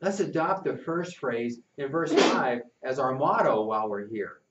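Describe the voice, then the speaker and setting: A man's voice: medium pitch, expressive, a little slow, reverberant, distant mic, muffled recording, very noisy, natural voice. An older man reading a storybook aloud, voicing a level-headed boy camper in a sensible, matter-of-fact way.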